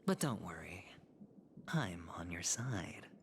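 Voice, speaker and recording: An actor playing a sly, smug gambler in a video game, talking in a smooth, provocative and insinuating way. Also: male voice, deep voice, very animated, slow, slight background noise, exaggerated voice. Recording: game dialogue with music underneath.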